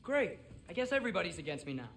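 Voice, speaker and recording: High-pitched, defiant American teenage boy. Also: sing-song, very fast, noisy background. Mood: sullen. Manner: petulant, argumentative. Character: defiant, headstrong teenage son. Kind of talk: video game voice acting.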